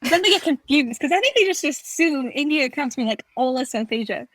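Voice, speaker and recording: Young woman podcast cohost, lots of likes and ums, acts out convos, highish voice, dry close mic. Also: animated, brisk, a bit bright, no echo. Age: young adult. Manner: chatty, filler-heavy, acts out quoted exchanges. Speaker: podcast co-host. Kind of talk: casual chat.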